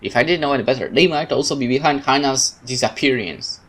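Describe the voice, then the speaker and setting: A man's voice: medium pitch, fast. Man expressively narrating a visual novel. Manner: expressive reading with casual asides. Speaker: let's player reading a game aloud. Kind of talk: dramatic first-person narration of a visual novel.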